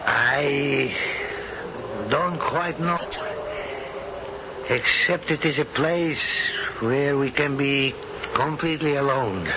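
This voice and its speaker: An actor playing a grave, courtly middle-aged gentleman suitor, halting and formal, in a 1970s radio drama. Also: medium pitch, natural voice. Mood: solemn courtship. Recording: dull, noisy old radio broadcast.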